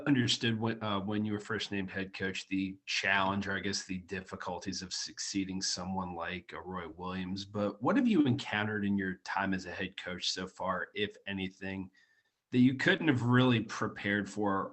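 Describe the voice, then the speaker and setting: A man with a fairly low voice puts a question as a reporter on a conference call. His phrasing is tentative and hedged. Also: moderate pace, fairly bright tone.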